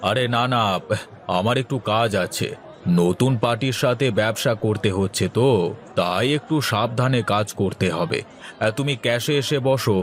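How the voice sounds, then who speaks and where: A man's voice: medium pitch, normal speed, lightly acted. A man voicing a kindly, protective older merchant boss in a cartoon. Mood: busy, instructing. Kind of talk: Bengali cartoon dialogue.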